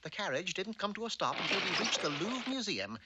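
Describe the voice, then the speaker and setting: A man's voice: high-pitched, very animated, a little fast, very noisy. A man voicing an erudite, unflappable talking dog who narrates a cartoon in a precise, pedantic, droll way.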